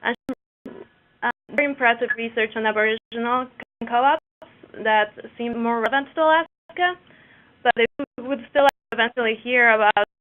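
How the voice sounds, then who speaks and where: A woman's voice: on the high side, moderate pace. Woman with a Mexican accent, tinny line. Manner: hesitant, many fillers and restarts. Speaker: co-op business developer, presenter. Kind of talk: webinar presentation. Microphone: webinar call line. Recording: tinny phone-like webinar audio.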